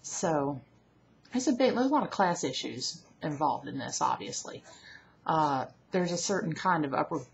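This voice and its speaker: A woman in her thirties from Alabama with a mild Southern accent, chatting candidly to a webcam at home and often correcting herself mid-sentence. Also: deep voice. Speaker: vlogger. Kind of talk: casual talk to camera. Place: at home indoors. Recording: dull lo-fi webcam audio with faint hiss.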